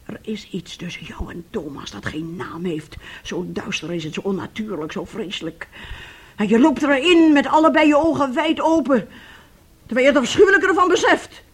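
A woman's voice: a little high, lively intonation, moderate pace, husky. Stern, weary older mother. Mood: stern, accusing. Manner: stern, emphatic, dramatic. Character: weary, domineering older mother, moralising and insistent. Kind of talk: radio play acting.

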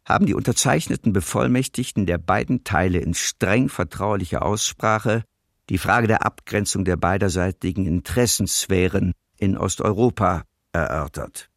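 A formal, detached official reads out a document in a documentary radio play. The voice is low with a creaky grain, recorded very dry. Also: male voice, dry recording. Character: formal male official reading a secret treaty protocol.

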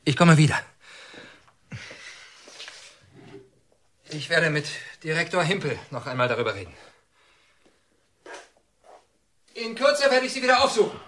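An actor playing a pedantic, nervous prison psychologist in a radio drama, formal and insistent. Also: male voice, on the high side, sing-song, fast, a bit bright, slight background noise. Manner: stilted, officious, agitated. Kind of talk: German radio drama acting.

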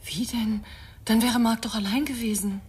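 A woman's voice: a little high, fairly even intonation, moderate pace, darkish tone, slightly rough. An actress playing a distraught, defensive mother under police questioning in a German radio crime drama, hesitant and strained.

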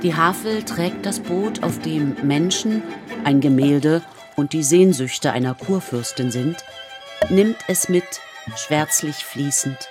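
An actress playing a composed, observant storyteller, narrating a poetic radio drama in a measured literary manner. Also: female voice, sits low. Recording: studio radio drama with music. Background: prominent music with strings.

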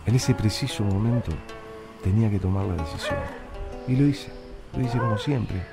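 A man's voice: lowish voice, normal speed, vocal fry, over music. A man with a Rioplatense Argentine accent acting a troubled, working-class factory worker in a radio drama, slangy and reflective. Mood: brooding, reflective. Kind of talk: radio drama acting and first-person narration.

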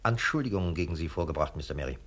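Husky, formal police sergeant. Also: male voice, extremely low pitch, lively intonation, racing, a bit bright, exaggerated voice. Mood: politely firm. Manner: formal, clipped, correcting. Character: official, politely firm male police sergeant. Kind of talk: 1970s German radio crime comedy acting.

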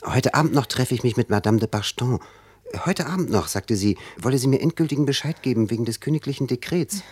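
A man's voice: medium pitch, expressive, fast, a little mellow, breathy edge, no echo. A man acting in a German radio play as an ambitious young writer, a devoted but anxious and bitter lover. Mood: hopeful, persuading.

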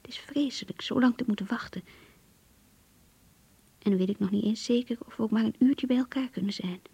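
A woman's voice: a little high, a little fast, no echo, heavily acted. An actress playing a young woman in love, reading her diary in a radio drama, intimate, yearning and earnest. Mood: yearning, determined. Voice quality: breathy, whispery. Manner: intimate, hushed, confiding.

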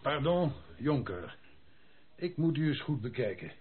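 An actor playing a cheeky, jovial old army captain in a Dutch radio play, blustery and bantering, with dropped-in French phrases. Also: male voice, medium pitch, very animated, measured pace. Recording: tinny phone-like old radio play recording.

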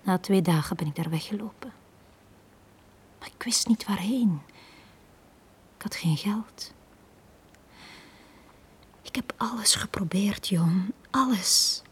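A Flemish actress playing a lonely, downtrodden young bar girl in a radio drama, speaking in a hushed, halting, confiding way. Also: mid-range voice. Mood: wistful, hurt. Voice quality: breathy, hushed.

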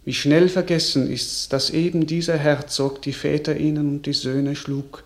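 A man's voice: a little high, little pitch movement, normal speed, roomy sound, natural voice. An actor playing a gracious young French king in a classical verse radio drama, declaiming solemnly and tenderly. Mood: moved, forgiving. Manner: declamatory, stately delivery.

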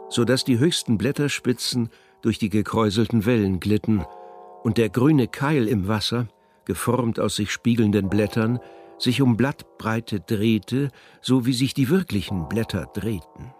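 Man, literary narrator, radio drama, mid-range pitch, faint musical backing. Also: a little slow, darkish tone, faint music behind.

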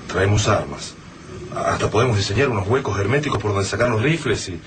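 A man's voice: lowish voice, lively intonation, brisk, lightly acted. An actor playing a tense, frightened man guarding his house, with an Argentine accent, in a radio drama. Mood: urgent, planning. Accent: Argentine, Rioplatense. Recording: radio drama with loud noise behind.

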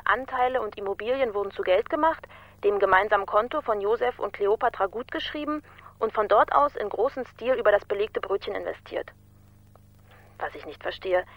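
A woman's voice: mid-range voice, no room sound, close to an everyday voice. Female researcher on a muffled, dry line, informal and wry, radio crime play played by an actress. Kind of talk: German radio crime drama acting. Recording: dull, dry telephone-like sound.

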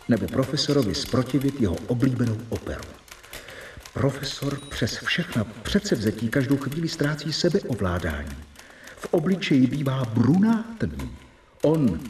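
A man's voice: mid-range voice, darkish tone, roomy sound, distant mic, slight background noise, close to an everyday voice. An actor playing an earnest doctor's assistant who dictates his scientific diary in a radio drama. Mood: dismayed.

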